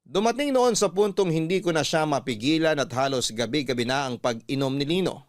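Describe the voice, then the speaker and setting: A Filipino man narrates a radio drama story in a mid-pitched voice. He reads earnestly and deliberately, pausing for drama, in a dry studio sound. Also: dry recording. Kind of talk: scripted first-person story narration.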